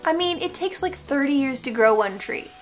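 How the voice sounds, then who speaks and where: A fairly high-pitched American woman talks casually to her viewers over background music. She hosts like a vlogger reacting to comments, reading bits aloud and tossing in playful, sarcastic asides. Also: lively intonation, normal speed. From United States. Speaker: vlog host.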